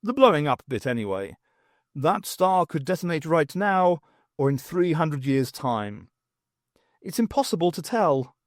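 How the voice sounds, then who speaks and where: A man voicing a calm, middle-aged starship captain in an audiobook, measured and matter-of-fact. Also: on the high side, dry recording, clean studio sound.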